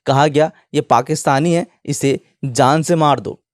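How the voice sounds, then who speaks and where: A man's voice: a little high, a little slow, no room sound, studio quality. A man presenting opinionated online news commentary in an indignant manner, often posing rhetorical questions.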